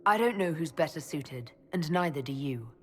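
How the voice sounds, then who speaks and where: A woman's voice: sits low, expressive, normal speed, slightly rough. An actress playing a cautious, earnest elven woman who leads a band in a fantasy game, direct and questioning. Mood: challenging. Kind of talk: dramatic fantasy game acting.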